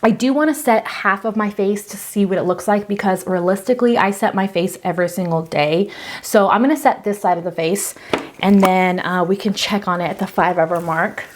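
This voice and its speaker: A woman in her thirties talks through her makeup in an easygoing, conversational way. Her voice sits at an ordinary middle pitch, and her delivery is loose and breezy, full of fillers and little asides. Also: a little fast. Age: 30s. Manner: chatty, filler-heavy, breezy. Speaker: beauty vlogger. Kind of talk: casual product review.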